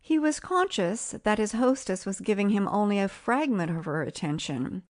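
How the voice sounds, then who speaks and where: Measured low-voiced woman narrating. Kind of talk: scripted literary audiobook narration.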